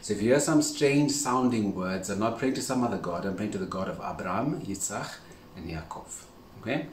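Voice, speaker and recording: A man's voice: lowish voice, expressive, brisk. A man in his forties from Zimbabwe gives a casual, earnest religious talk to a camera in his home kitchen and keeps checking in with "right?". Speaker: faith teacher. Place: indoors in a home kitchen with a large window. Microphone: camera at a few steps' distance. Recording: roomy, distant sound with faint hiss.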